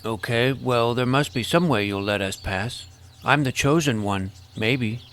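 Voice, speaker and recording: A man's voice: mid-range voice, unhurried, fairly bright tone. Earnest boy hero, played by a man. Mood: curious, awkward. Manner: casual, boyish, hesitant phrasing. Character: earnest, awkward boy hero telling his adventure. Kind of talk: first-person scripted narration and acted dialogue.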